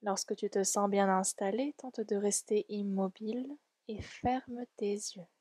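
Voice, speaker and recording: Slow, mellow woman guiding relaxation. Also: medium pitch, steady tone, no echo. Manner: soothing, gentle, unhurried delivery. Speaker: yoga nidra guide. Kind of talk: guided relaxation meditation.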